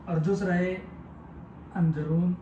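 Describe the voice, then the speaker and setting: A man giving a step-by-step technical tutorial, explaining simply and repetitively in halting phrases. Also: sits high, fairly even intonation, warm tone, highs cut off. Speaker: technical instructor. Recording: echoey room with clear background noise.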